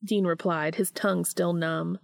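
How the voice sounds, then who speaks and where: Low-pitched woman narrator with creaky voice. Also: fairly even intonation, normal speed, no room sound, clean studio sound. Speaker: podcast host and fan fiction reader. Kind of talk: expressive audiobook-style reading of fan fiction.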